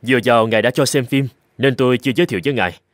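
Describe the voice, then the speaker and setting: A man's voice: mid-range voice, racing, no echo, natural voice. A man voicing an earnest, persuasive boss of a young company in a cartoon, speaking politely. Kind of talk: dubbed cartoon dialogue.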